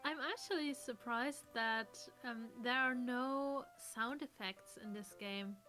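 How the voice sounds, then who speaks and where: Female let's play co-host, slightly high voice, casual and thoughtful explainer, faint music behind. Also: slow, sharp tone. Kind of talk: casual chat.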